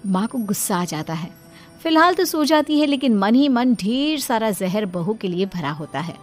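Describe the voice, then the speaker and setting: A woman's voice: mid-range voice, sing-song, moderate pace, faint music behind. A woman storyteller giving a scripted, dramatic narration of a story.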